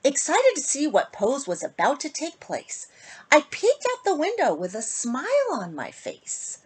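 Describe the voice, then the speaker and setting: A woman reading a rhyming picture book aloud to children in an expressive, sing-song manner, with phrases that often end softly. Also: high voice, measured pace. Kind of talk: storybook read-aloud for children.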